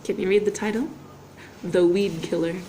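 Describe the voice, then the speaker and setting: A woman teacher reading a children's storybook aloud with expressive, deliberate phrasing. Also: mid-range voice. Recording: roomy echo, faint background noise.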